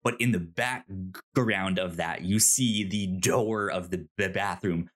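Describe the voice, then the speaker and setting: A man co-hosting a podcast, casually retelling scenes in a halting way, repeating words and acting out dialogue. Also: low voice, fairly bright tone. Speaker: podcast co-host.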